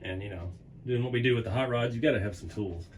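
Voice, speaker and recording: A man hosting a hobby vlog from his workshop, casually rambling and chuckling, his voice going hoarse. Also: medium pitch, quick pace, slight background noise. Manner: relaxed, rambling, chuckles often. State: losing his voice. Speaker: hobby channel host. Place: home workshop.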